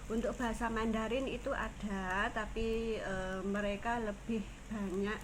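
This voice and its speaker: A woman from Indonesia with a Javanese Indonesian accent, a caregiver teaching phrases in a casual lesson, saying each one slowly twice. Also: mid-range voice, steady tone. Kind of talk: casual language lesson vlog. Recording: noisy ambience.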